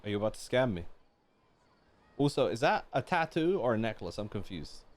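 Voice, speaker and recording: A man's voice: medium pitch, sing-song, brisk. A man giving casual live reactions as he streams a game, chatty and questioning things aloud.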